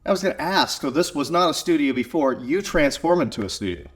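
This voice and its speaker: A man's voice: a little high, lively intonation, fast. A man with an American accent hosting a podcast, speaking casually as he interviews his guest. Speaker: podcast host. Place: small garage video studio, on location.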